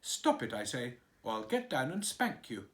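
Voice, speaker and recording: A man voicing a bossy little old woman nurse in a storybook reading, brisk and scolding. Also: mid-range voice, very animated, lightly acted.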